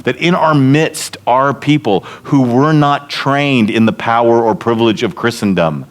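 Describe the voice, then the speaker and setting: A man's voice: mid-range voice, measured pace. A man giving a storytelling sermon at a church event, building to emphatic points. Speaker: seminary leader speaking at church event. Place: church meeting hall.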